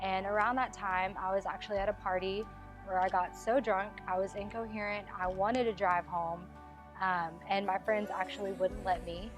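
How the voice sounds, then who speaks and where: A twenty-year-old woman shares a personal testimony in a mid-pitched voice. She is candid and articulate, and music plays clearly behind her. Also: flat delivery, normal speed. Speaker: church member giving testimony.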